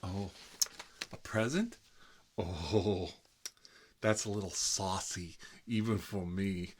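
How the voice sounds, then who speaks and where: A low-pitched man performing as a fussy, gossipy homebody chats to a visitor in an ASMR comedy role-play. He rambles, gets flustered and circles back over his own phrases. Background noise and small clicks sit behind the voice. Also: fairly even intonation, takes it slowly. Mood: coy, gossipy. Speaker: ASMR channel host.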